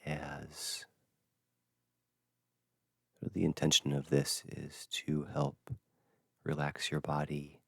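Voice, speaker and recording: Very deep, creaky, slow-spoken male meditation guide. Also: monotone, no room sound, studio quality. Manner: gentle, hushed, halting with long pauses. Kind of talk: unscripted dharma talk for guided meditation.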